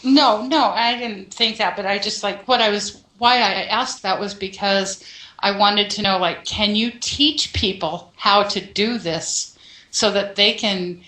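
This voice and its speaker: A woman's voice: medium pitch, a little slow, sharp tone. A woman calling in to a show, chatting casually as she puts her questions to the host. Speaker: caller with questions. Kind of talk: casual call-in conversation. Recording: echoey call-in sound.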